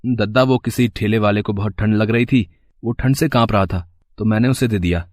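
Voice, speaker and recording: A man voicing a kind, caring young college student in an animated moral story, earnest and gentle. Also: on the low side, a little fast, no echo, clean studio sound, natural voice.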